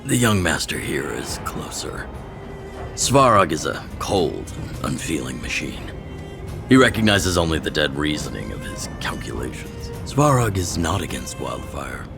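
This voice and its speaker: A man playing a calm, weathered older resistance leader in a video game, with a gravelly voice and a measured, wryly knowing delivery. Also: extremely low pitch, exaggerated voice. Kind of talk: English game voice acting. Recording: prominent background music.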